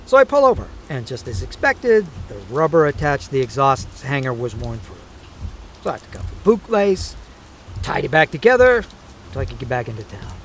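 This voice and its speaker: Audio drama dad, male actor: aging, fussy, stubborn rambler, swinging pitch, faint rain behind. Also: moderate pace. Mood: animated storytelling. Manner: rambling, nagging, storytelling. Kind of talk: naturalistic audio drama acting. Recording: steady rain noise behind the voice.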